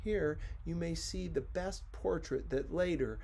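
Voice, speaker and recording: A man reading a storybook as an audiobook narrator, with dramatic, deliberate phrasing. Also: fairly high pitch, gravelly, a little mellow, a little hiss or hum. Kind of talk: expressive scripted storybook narration.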